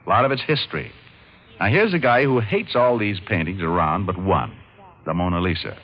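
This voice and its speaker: A man playing a sharp, wisecracking private detective in casual, slangy old-time radio style. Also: low-pitched, lively intonation, a little fast. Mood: insistent. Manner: casual, slangy, reasoning aloud. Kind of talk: 1940s-50s radio detective acting and narration. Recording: muffled, noisy old radio recording.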